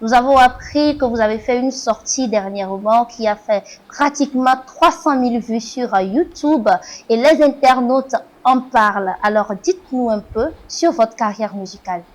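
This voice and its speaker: A woman's voice: fairly high pitch, normal speed, hardly any pauses, rough recording. A woman hosting a talk show, asking questions with frequent hesitant fillers. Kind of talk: interview questions.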